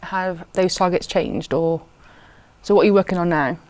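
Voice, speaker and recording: Clear, smooth British woman interviewer. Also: lowish voice, moderate pace, darkish tone, no echo. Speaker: interviewer, teacher-training lead. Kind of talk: conversational interviewing.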